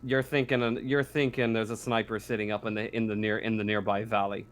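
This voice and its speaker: A man's voice: fairly low pitch, level tone, a little fast, clear, resonant tone. A man working as game master, narrating a tabletop roleplaying game in vivid description mixed with informal rules talk.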